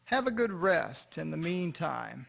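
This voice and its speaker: A man's voice: on the high side, sing-song, measured pace, loud music behind the voice, full character voice. A man playing a kindly, chatty farmer who talks fondly to his horse in a radio drama. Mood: affectionate. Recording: noisy, with clear foley sounds behind.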